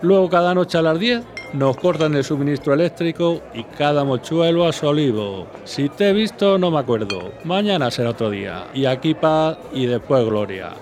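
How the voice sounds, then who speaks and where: An actor playing a worldly café bartender in an audio drama, unhurried, chatty, familiar and knowing. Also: male voice, fairly high pitch, animated, crowd noise. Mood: knowing, wry.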